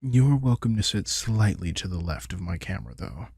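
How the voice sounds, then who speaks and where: A man's voice: low-pitched, animated, moderate pace, vocal fry, a little mellow, breathy edge, no echo, studio quality, full character voice. A man playing a centuries-old vampire king, wry and protective, talking casually and intimately to the listener in an audio roleplay. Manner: casual, reflective, swearing, addresses listener directly. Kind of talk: intimate scripted audio roleplay, conversational monologue.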